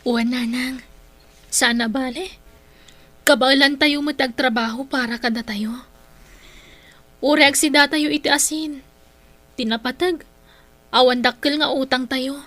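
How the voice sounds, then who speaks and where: Outspoken, exasperated Filipino daughter, Ilocano accent, high-pitched and bright, radio drama. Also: female voice, fairly even intonation, a little slow, pauses often, no room sound, exaggerated voice. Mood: earnest. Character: exasperated, outspoken grown daughter of a troubled family.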